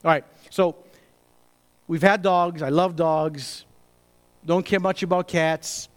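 A pastor preaching a sermon, emphatic, moving between loud bursts and hushed asides. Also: male voice, fairly high pitch, steady tone, slow. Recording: reverberant hall.

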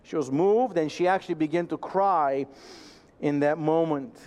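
High-voiced preaching man from Romania. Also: darkish tone, highs cut off. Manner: earnest, emphatic, slows down for key phrases. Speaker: pastor. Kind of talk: sermon.

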